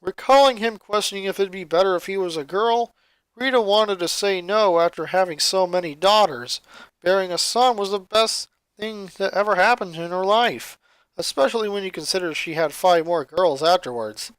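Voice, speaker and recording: A woman's voice: mid-range voice, moderate pace, smooth, no room sound, poor audio. A woman narrating a piece of fan fiction as a storyteller, recorded close to the mic.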